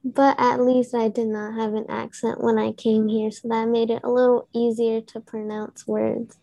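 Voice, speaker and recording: A woman's voice: fairly high pitch, little pitch movement, moderate pace, gravelly, mellow. A young woman whose first language is Urdu, a student guest talking carefully and unhurriedly about herself. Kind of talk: casual chat.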